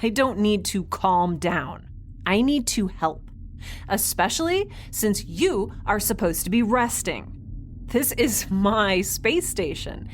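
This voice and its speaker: A woman's voice: mid-range voice, very animated, slow pace, slight background noise. A woman playing a defiant, sarcastic young space station resident in an audio drama, with a flippant, casual delivery. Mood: stubborn. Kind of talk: scripted audio drama acting.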